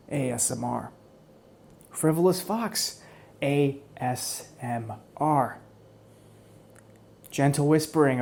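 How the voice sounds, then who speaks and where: A man doing an ASMR roleplay, speaking softly in a teasing, mock-menacing way and sometimes dropping into whispers. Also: a little high, creaky. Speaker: ASMR channel creator. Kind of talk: ASMR roleplay, soft-spoken.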